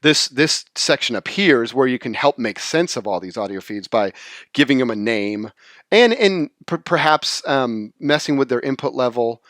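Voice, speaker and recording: A man presenting an unscripted software tutorial, explaining in a halting way and rephrasing as he goes. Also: mid-range voice, animated, no room sound, studio quality. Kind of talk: informal screen-share tutorial, unscripted explanation.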